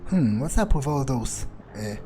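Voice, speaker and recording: A man's voice: medium pitch, lively intonation, a little slow, warm tone, natural voice. A man voicing a cynical, foul-mouthed vampire in a video game, muttering casually to himself as he thinks aloud. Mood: musing, hungry. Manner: casual, sweary, hesitant muttering. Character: cynical, foul-mouthed male vampire prowling a city for prey. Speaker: gameplay roleplayer. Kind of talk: in-character gameplay monologue, thinking aloud. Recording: game music behind the voice.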